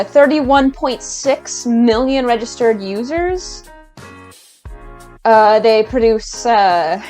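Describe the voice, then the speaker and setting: A woman's voice: fairly high pitch, a little mellow. A woman giving a casual, enthusiastic video-essay rant as a YouTuber, chatty and hesitant, often restarting her sentences.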